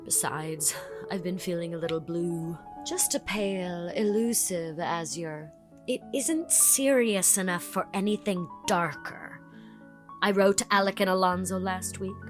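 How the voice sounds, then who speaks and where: Actress as a breezy young college belle. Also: female voice, fairly low pitch, measured pace, soft background music, natural voice. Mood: breezy, languid. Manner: breezy, theatrical, self-absorbed.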